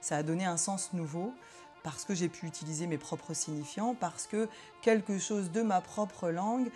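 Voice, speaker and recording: A woman psychologist in an interview, talking reflectively with many pauses and hesitant fillers. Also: fairly low pitch, warm tone, soft background music.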